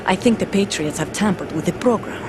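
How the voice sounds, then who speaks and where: A woman's voice: mid-range voice, a little fast, heavy background noise, lightly acted. An actress playing a guarded, stoic female soldier in a video game drama, speaking in a terse, serious, controlled manner. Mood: stern, controlled. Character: guarded female soldier, stoic mother forced into covert service. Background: music.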